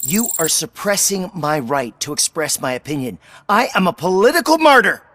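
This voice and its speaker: An actor playing a self-righteous but cowardly vandal in a video game, with a whiny, theatrical manner. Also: male voice, high-pitched, very animated, moderate pace, pushed and bright, dry recording, full character voice. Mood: indignant.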